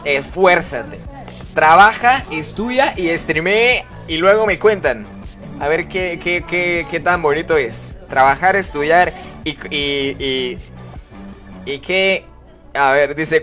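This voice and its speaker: A man's voice: high-pitched, measured pace, a bit bright, faint music behind. A man hosting a casual live game stream, chatting with followers, greeting them by name and reading their comments aloud. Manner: chatty, greets viewers and reads chat aloud.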